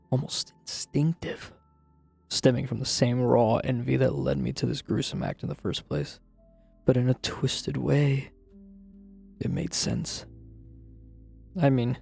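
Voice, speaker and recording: A man playing a troubled, guilt-ridden narrator confessing a grim childhood memory in a brooding, dramatic horror-story style, with a gravelly, husky voice. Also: mid-range voice, a little mellow, full character voice. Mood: dark, intense. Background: music.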